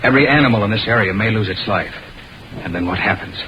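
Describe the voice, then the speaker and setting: A man's voice: on the low side, quick pace, a little hiss or hum, lightly acted. An American actor playing an earnest, decisive young man fighting creatures in a vintage radio horror drama, with dramatic delivery. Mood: grave, ominous. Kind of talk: vintage American radio horror acting, with first-person narration. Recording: dull vintage radio recording over music.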